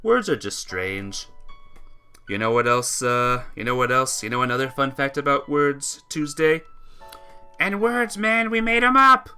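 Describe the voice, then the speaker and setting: A man's voice: mid-range voice, measured pace, bright, forceful tone, faint music behind. A man casually chatting and reading while playing a visual novel, joking and rambling in a self-mocking way. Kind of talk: casual let's-play chat and reading aloud.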